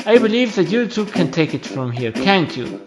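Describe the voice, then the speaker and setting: Man as a courteous, high-voiced mentor creature. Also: big pitch swings. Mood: courteous explaining. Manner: measured, courteous, explanatory. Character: polite, knowing mentor creature explaining things to juniors. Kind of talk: amateur game character voicing. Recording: music behind the voice.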